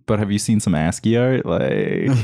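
A man co-hosting a comedy podcast in casual banter, jokey and quick to riff, often breaking into laughter. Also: on the low side, huge pitch swings, gravelly, dark tone. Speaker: sketch comedy podcast co-host.

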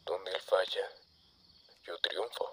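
A man with a Latin American accent playing a cold, calm, self-assured villain in an animatronic suit, in an animated fandub. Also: deep voice, takes it slowly, a little hiss or hum. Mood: smug. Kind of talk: amateur animated fandub acting.

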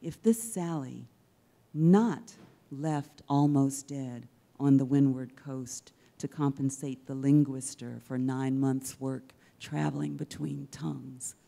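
A middle-aged woman giving a dramatic spoken-word literary reading on stage, measured and rhetorical, with deliberate pauses. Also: a bass voice, steady tone, gravelly, warm tone. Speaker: poet reading at an event. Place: indoors on a dark theater stage. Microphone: handheld-style microphone on a stand, close.

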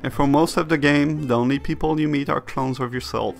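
A man's voice: on the high side, fairly even intonation, fairly quick, vocal fry. A man reading a scripted video essay that reviews games, in the role of narrator. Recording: music bed behind the voice. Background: music.

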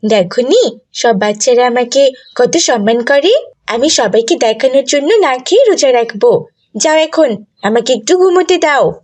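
A woman's voice: high-pitched, animated, normal speed, darkish tone. A woman voicing a stubborn, whiny young girl in a cartoon. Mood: sulky, stubborn.

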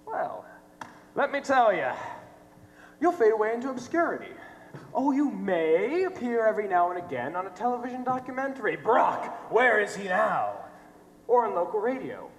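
Young man acting a high-pitched, mocking stage villain. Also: very animated, moderate pace. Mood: taunting. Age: young adult. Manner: theatrical, mocking. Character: sardonic, taunting showman, cruelly mocking tormentor. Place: theater stage, filmed from the audience. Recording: echoey theater stage, noisy hum.

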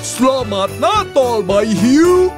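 A man's voice: very high voice, very animated, slow pace, exaggerated voice. An actor voicing a jolly older male Santa shark in a children's cartoon, with hearty ho-ho laughter. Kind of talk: cartoon voice acting. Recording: bright cartoon voice with loud music.

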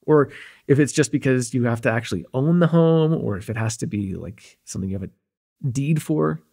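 Man acting a breathy, cocky young male vampire. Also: medium pitch, expressive, normal speed, a little mellow. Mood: musing, playful. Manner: rambling, playful, slangy, hesitant asides. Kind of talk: immersive ASMR roleplay acting, casual and conversational.

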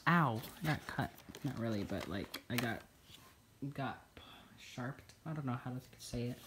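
A man's voice: medium pitch, expressive, a little slow, background noise. A young man with a stuffy nose chatting casually while unboxing a toy at home, rambling with lots of fillers. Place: indoors at a table in a home room.

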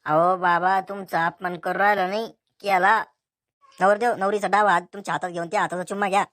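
A woman's voice: mid-range voice, steady tone, fairly quick, a bit bright, lightly acted. A woman voicing a cheeky, mocking young male wedding photographer in a cartoon comedy, bossy and sarcastic, with a rural Maharashtrian accent.

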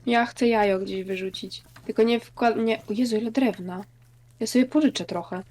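A woman's voice: a little high, normal speed, darkish tone. A woman talking casually with friends while playing a game together. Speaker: co-player in a multiplayer game. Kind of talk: casual gaming chat.

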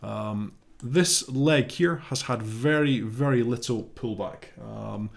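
A man in his thirties with a slight cold, explaining a trade in a relaxed, thinking-aloud way from his home office webcam. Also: mid-range voice, takes it slowly, vocal fry. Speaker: trading educator. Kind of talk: casual explanatory live-trade walkthrough.

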